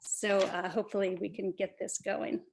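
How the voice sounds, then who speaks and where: Earnest young woman on a video call, mid-pitched everyday voice, grateful presenter who says "um" a lot. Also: level tone, moderate pace, gravelly, darkish tone, a little hiss or hum, low-quality recording. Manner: earnest, many "um" fillers. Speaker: award presenter. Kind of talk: prepared presentation speech.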